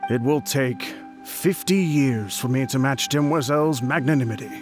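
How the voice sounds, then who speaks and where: A man's voice: mid-range voice, moderate pace, a little mellow, faint music behind, natural voice. Man playing a humble, devoted bodyguard. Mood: admiring. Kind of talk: video game voice acting.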